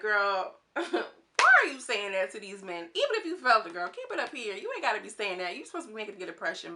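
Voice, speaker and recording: A woman from Houston with a twang, talking fast and chatting casually as she reviews a reality dating show, acting out quotes in a higher voice. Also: bright, forceful tone, a little hiss or hum. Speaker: YouTube reality TV commentator. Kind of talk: casual commentary monologue.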